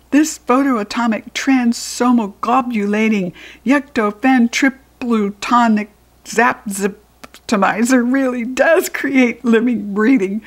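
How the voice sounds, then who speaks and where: A woman with an American accent voicing an excitable, urgent schoolboy in a children's storybook. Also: on the high side. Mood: panicked. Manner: exclamatory, urgent.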